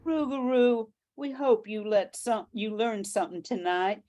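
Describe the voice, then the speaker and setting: A woman's voice: medium pitch, unhurried, warm tone, close to an everyday voice. A woman playing a motherly, hospitable Cajun bayou cook in an amateur radio play.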